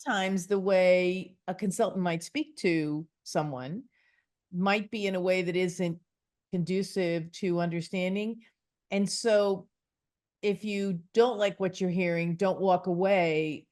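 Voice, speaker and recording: A woman's voice: fairly low pitch, steady tone, a little slow, darkish tone. A woman hosting a conversational interview, chatty and rambling, often reaching for food comparisons.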